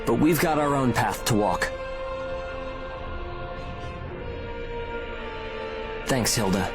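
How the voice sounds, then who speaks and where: Young male lead man acting, game drama, sincere and resolute, mid-pitched, music clearly underneath. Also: expressive, normal speed, natural voice. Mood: sincere, reflective. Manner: earnest, persuasive. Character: earnest, determined young man leading his crew.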